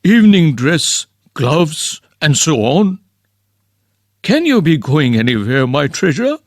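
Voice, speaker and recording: A man's voice: fairly high pitch, very animated, slow, no echo, heavily acted. Old landowner, read by a man, effusive, fussy, dry close sound. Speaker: reader of a play part. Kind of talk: amateur play reading.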